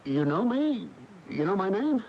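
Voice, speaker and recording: A middle-aged American workaholic husband, tense and defensive. His pitch swings widely in the manner of radio drama. The broadcast recording is dull and clearly noisy. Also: very animated, unhurried, heavily acted. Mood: uneasy. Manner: agitated, defensive, sardonic. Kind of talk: 1980 radio drama acting and narration.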